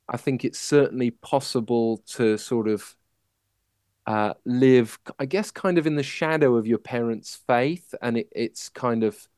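A man from Manchester, England, telling his personal story as a podcast guest in a halting, reflective manner. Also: mid-range voice, darkish tone, smooth, dry recording, studio quality. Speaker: podcast guest, musical writer.

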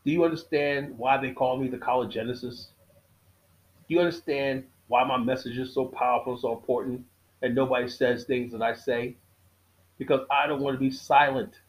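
A man's voice: medium pitch, fairly even intonation, poor audio. Preachy middle-aged man repeating his points. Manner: preachy, repeats key lines for emphasis; halting, frequent uh and restarts. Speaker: podcast host. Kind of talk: casual monologue.

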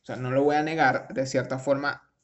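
A man's voice: medium pitch, fairly even intonation, brisk. A young Venezuelan man with a Venezuelan accent, casually commenting on a news article, rambling with restarts and slang. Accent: Venezuelan Spanish.

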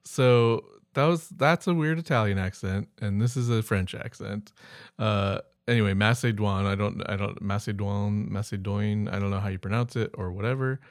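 A man with a fairly low voice chats casually as a podcast co-host. He rambles through word lists with offhand, humorous asides, recorded dry and close. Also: normal speed, hardly any pauses, dry recording.

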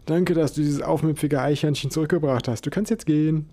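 A man voicing a greasy, jumpy young man who talks in an excitable, stammering way. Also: a little high, brisk, voice pushed up, close to an everyday voice.

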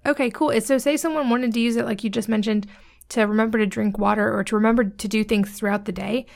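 Woman podcast host, fast-paced, fry in the voice, friendly questions. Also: a little high, steady tone. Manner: quick, friendly interviewer asking follow-up questions. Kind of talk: casual interview questions.

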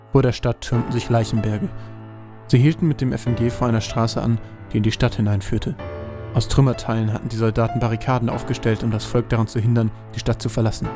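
A man narrating a scripted fantasy audio drama as a storyteller. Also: medium pitch.

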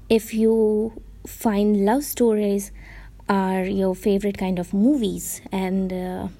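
Slow, soft-toned Indian woman. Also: mid-range voice. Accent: Indian English. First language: Gujarati. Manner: earnest, explanatory, with self-corrections. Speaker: vlogger giving reading advice. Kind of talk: casual talking-to-camera advice.

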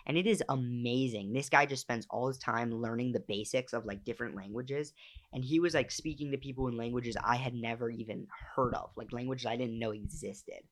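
A young man chatting loosely on a podcast in an ordinary mid-range voice. He rattles off stories in quick bursts and drops into playful mock voices for quoted lines. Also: medium pitch, fairly bright tone. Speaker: podcast co-host. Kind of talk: casual chat.

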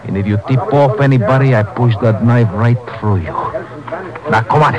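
An actor playing a cold, bullying robber in a 1950s radio drama. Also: male voice, mid-range voice, moderate pace, muffled recording, a loud crowd, close to an everyday voice. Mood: bullying, urgent. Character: menacing Mexican knife-wielding male robber, cold and bullying. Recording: old 1950s radio broadcast recording.

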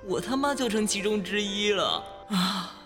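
A man's voice: high voice, animated, normal speed, music in the background. A young man acting a sullen, bitter, easily exasperated teenage boy in a Chinese audio drama. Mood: resentful.

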